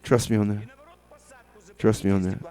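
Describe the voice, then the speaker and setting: A man's voice: on the low side, little pitch movement, moderate pace, mellow. A man hosting a music radio show with casual, hesitant, tongue-in-cheek patter, often repeating phrases for effect. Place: radio studio.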